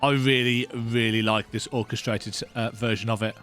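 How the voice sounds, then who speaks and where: A man's voice: medium pitch, fairly even intonation, moderate pace, a bit bright, faint music behind. A man hosting a let's play, giving casual, rambling, conversational commentary on a video game.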